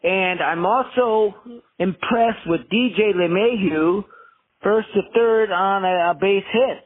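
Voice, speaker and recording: Over a tinny, narrow phone line, a man leaves a casual fan voicemail as a caller. His voice is high-pitched and husky. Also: slow. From Southern California.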